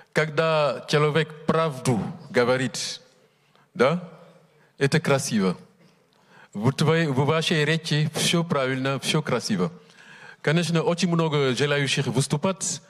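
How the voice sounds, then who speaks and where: A man's voice: fairly high pitch, long reverb tail. A man chairing a conference who thanks speakers and calls the next one, talking informally with hesitant filler sounds. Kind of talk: moderating a conference.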